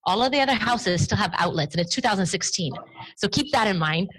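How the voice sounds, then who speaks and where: A woman in her thirties, born and raised in Romania with Romanian as her first language, talks through her family history in a relaxed interview. She is chatty and tells her stories freely. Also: medium pitch, fast talker, husky, low-quality recording. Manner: chatty, storytelling, laughs easily. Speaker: guest. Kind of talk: conversational interview.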